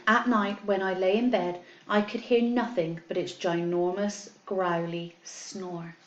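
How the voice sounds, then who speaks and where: Lady teacher doing story time for kids, expressive and simple wording, roomy echoey sound. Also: mid-range voice, lo-fi sound, slight background noise. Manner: expressive storytelling with slowed, emphasised words. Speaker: primary school teacher reading to pupils. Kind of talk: children's story read aloud, addressing pupils.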